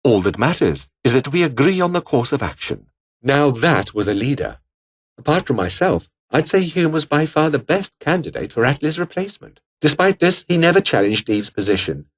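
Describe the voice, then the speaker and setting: An actor voicing a scheming, haughty British officer in a video game, with a pompous, formal, disdainful way of speaking. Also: male voice, on the high side, very animated, normal speed, breathy edge. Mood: conspiratorial, cold. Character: scheming, haughty British political officer, coldly ambitious man. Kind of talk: scripted game character dialogue.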